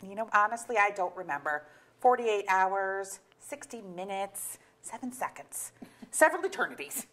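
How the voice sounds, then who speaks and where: A woman's voice: medium pitch, sing-song, normal speed, a bit bright. A woman playing a wry, sardonic middle-aged wife and mother in a staged play reading, with dry, hesitant asides. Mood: dry, evasive.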